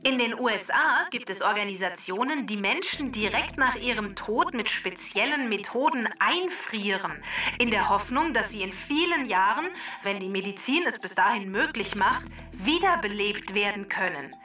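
Bright, sharp female talking database, voiced by a woman. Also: mid-range voice, lively intonation, far from the mic, faint music behind. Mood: matter-of-fact, instructive. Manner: precise, instructive, measured. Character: clever female talking database that explains facts. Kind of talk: scripted explanatory narration for children.

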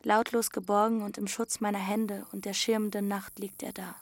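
A woman's voice: medium pitch, level tone, moderate pace, no echo, close to an everyday voice. A woman playing a dreamy, stubborn teenage runaway girl who tells her story intimately in short plain phrases in a radio drama. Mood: hushed, tender. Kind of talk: first-person radio drama narration with dialogue.

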